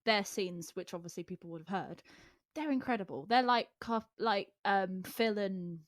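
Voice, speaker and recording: A woman actor co-hosting a casual chat, informal, emphatic and quick to agree. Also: medium pitch, dry recording, studio quality. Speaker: actor and co-host. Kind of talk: casual behind-the-scenes chat.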